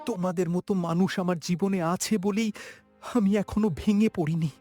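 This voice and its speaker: A man's voice: high voice, fairly even intonation, moderate pace, warm tone, faint music behind. A man voicing an honest, hardworking farmer husband in a cartoon drama, with an earnest, emotional delivery. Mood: moved.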